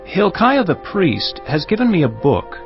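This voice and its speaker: A man's voice: high-pitched, very animated, measured pace. An actor playing a dutiful royal secretary reporting formally to his king in a dramatized scripture audio. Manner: formal, announcing delivery. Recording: slightly dull audio over faint music.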